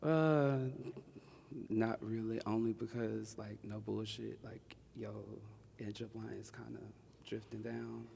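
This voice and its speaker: A man's voice: lowish voice, steady tone, slow pace, gravelly, darkish tone, slight background noise. A man answering as a dating show contestant, laid-back and slangy, often trailing off. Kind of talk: casual chat. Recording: echoey hall.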